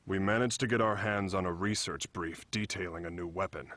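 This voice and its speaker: A man voicing a grave, serious fighter in an animated film dub, speaking in a solemn, deliberate way. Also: deep voice, dry recording. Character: grave, serious male fighter briefing his team. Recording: music behind the voice.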